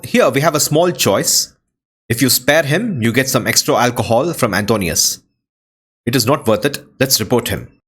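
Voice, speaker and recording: A man's voice: medium pitch, lively intonation, moderate pace, a bit bright, husky. A man hosting a video game playthrough, commenting casually on what happens.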